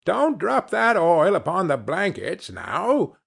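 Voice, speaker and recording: A man's voice: huge pitch swings, takes it slowly, vocal fry, fairly bright tone, slightly rough, full character voice. A man voicing a coarse, greedy woman who cackles and mocks. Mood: cool. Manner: shrill cackling laughter. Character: coarse scheming old charwoman, mocking and greedy.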